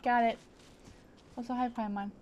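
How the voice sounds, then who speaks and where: A woman's voice: fairly high pitch, fairly even intonation, moderate pace, a little mellow, muffled recording, soft background music. A woman doing casual live gameplay commentary, thinking aloud in short, playful fragments. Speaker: game streamer.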